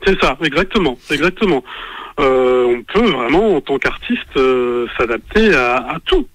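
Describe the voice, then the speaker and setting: A man answering questions in a radio interview, halting and full of fillers and restarts. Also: medium pitch, lively intonation, fairly bright tone, no room sound, rough recording. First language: French. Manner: hesitant, many fillers and restarts. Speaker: guest artist, singer and actor.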